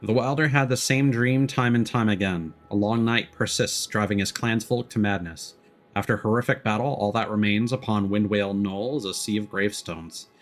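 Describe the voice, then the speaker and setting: A man streaming a video game, thinking out loud in casual commentary and sometimes reading game text, with game music behind. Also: lowish voice, fairly even intonation, moderate pace. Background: faint game music.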